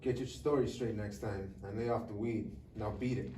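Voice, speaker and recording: An actor playing a young, stern and sarcastic Border Patrol agent in a live comedy table read, questioning curtly. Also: male voice, lowish voice, fairly even intonation, moderate pace, mellow, lightly acted. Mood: suspicious. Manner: curt, authoritative questioning. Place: live reading in a room. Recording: echoey room, distant mic, faint noise.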